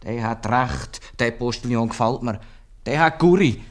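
A man's voice: mid-range voice, normal speed, lightly acted. A man playing a middle-aged, dry but kindly town bailiff and old suitor in a 1950s Swiss German radio comedy. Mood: thoughtful. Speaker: actor in a radio play.

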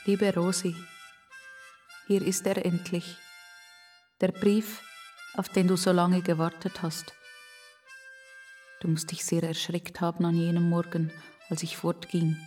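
A woman voicing an absent father's tender, apologetic letter, read quietly and reflectively in a children's audio story. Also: fairly low pitch, completely flat delivery, a little slow, pauses often, far from the mic, faint music behind, close to an everyday voice.

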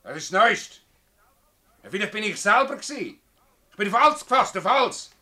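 A hot-headed Swiss village farmer in a dialect radio play. His voice is pitched high, very tense and sharp, and leaps about in pitch. He blusters and curses with bitter indignation. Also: lively intonation, normal speed, exaggerated voice. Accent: Swiss German. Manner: blustering, exclamatory, cursing. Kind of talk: dialect radio drama acting.

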